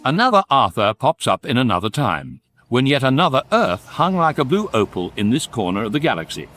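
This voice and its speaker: An actor playing the wry, learned narrator of a galactic guidebook in deadpan, articulate scripted radio comedy. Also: male voice, mid-range voice. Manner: deadpan, articulate, measured phrasing.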